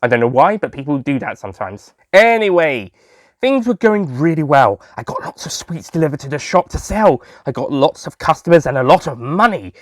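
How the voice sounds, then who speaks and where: A man's voice: a little high, sing-song, brisk, no echo, clean studio sound. A man playing a young, sweet-obsessed alien Time Lord who tells his story in a chatty, self-amused way, full of asides. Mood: cheerful. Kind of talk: first-person audio story narration in character.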